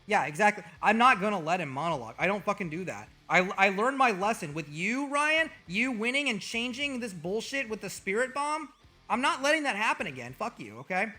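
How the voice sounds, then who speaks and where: A man streaming as a VTuber, joking and trash-talking with over-the-top, profane bragging, his voice a little hoarse. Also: high voice, big pitch swings, brisk, bright, forceful tone, faint music behind. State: slightly hoarse. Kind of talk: comedic livestream banter.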